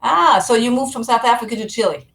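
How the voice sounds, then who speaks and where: A woman's voice: a little high, lively intonation, quick pace, vocal fry, fairly bright tone, poor audio. A woman hosting a casual interview on a video call, upbeat and greeting viewers. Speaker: host.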